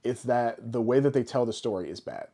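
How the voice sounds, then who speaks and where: A man's voice: mid-range voice, expressive, a little fast, dark tone, breathy edge. A man co-hosting a podcast, chatting casually and informally, often explaining things through examples. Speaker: podcast co-host.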